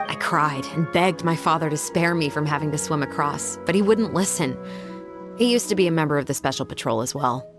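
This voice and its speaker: An actress voices a resolute young female patrol officer in a video game. The voice sits fairly low for a woman, measured and earnest, with formal, composed phrasing. Music is clearly audible underneath. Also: lightly acted. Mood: somber, reflective. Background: background music.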